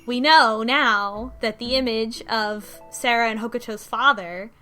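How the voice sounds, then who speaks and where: A woman co-hosting a podcast, casually retelling a story in halting bursts with false starts and some swearing. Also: a little high, sharp tone, soft background music. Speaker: podcast co-host recapping a story. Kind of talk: casual conversational plot recap.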